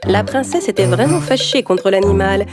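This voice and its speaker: A woman's voice: mid-range voice, lively intonation, normal speed. A woman narrating a scripted fairy tale for children. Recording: music under the voice.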